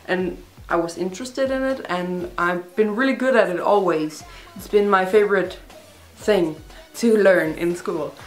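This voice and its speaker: A young woman from Denmark, a native Danish speaker with a Danish accent, answering viewer questions in a chatty, rambling, self-deprecating vlog. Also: medium pitch. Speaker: vlogger. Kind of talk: casual Q&A vlog. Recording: roomy echo, faint music behind.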